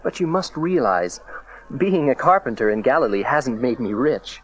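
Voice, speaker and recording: Protective, devout carpenter husband male actor, mid-pitched and expressive, reassuring, gently wry, biblical audio drama. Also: moderate pace, highs cut off, a little hiss or hum, natural voice. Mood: grave, wry. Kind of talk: dramatized audio Bible acting.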